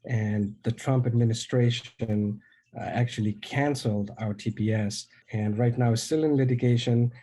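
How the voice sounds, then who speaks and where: A man in his forties giving measured, earnest interview testimony with occasional hesitations. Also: mid-range voice, steady tone, warm tone, husky, rough recording. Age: 40s.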